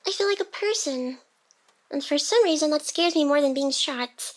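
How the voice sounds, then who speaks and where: A woman's voice: high-pitched, animated, normal speed. A woman playing a playful, guarded female AI who is secretly tender, in an intimate ASMR roleplay with teasing and denials. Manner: tsundere, teasing, flustered denials over soft confessions.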